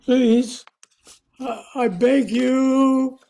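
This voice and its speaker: Tormented famous writer acted by a woman, slow, halting, pleading, soft dark tone, radio play. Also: high voice, fairly even intonation, gravelly, slight background noise, full character voice. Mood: anguished pleading. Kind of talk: radio drama acting.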